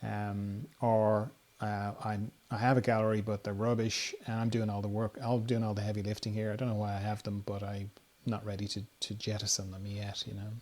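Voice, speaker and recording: A man hosting a podcast, chatting casually in a halting, anecdotal way. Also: lowish voice, little pitch movement, a little mellow. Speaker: podcast host. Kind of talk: casual chat.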